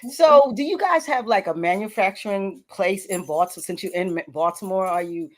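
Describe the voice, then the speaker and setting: Playful, teasing talk-radio woman. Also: brisk. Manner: playful, teasing, high energy. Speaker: radio talk show co-host. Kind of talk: casual interview chat. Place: radio studio.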